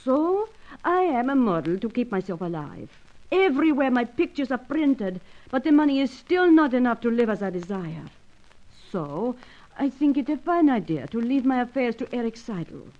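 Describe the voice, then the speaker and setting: High-voiced, swooping Latin seductress. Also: female voice, big pitch swings, normal speed. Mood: wistful. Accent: Latin American. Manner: theatrical pleading, foreign phrasing. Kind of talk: old-time radio spy serial parody acting. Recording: dull lo-fi radio sound.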